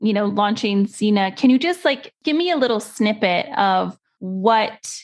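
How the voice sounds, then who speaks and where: A woman's voice: medium pitch, expressive, a little slow. A woman with an American accent hosting a podcast interview in a casual, chatty way. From California, United States. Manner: casual, chatty, frequent filler "like". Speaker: podcast host. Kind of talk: upbeat interview and casual chat.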